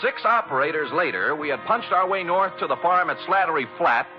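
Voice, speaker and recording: An actor playing a brash, wisecracking private detective in a flippant, slangy 1940s radio style. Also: male voice, a little high, expressive, a little fast, faint music behind, close to an everyday voice. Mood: wry. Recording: dull vintage radio recording.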